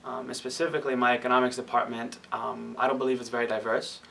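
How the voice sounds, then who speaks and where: Young man, bright voice with some creak. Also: mid-range voice, level tone, quick pace. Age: young adult. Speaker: college student. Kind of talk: measured interview opinion. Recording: distant mic, faint room noise.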